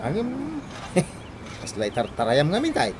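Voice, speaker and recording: An actor playing a cheeky, whiny, hard-drinking grown son in a Filipino radio comedy drama, with a Filipino accent. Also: male voice, medium pitch, wildly swooping pitch, slow pace, over a noisy crowd. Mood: teasing, whiny. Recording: noisy background.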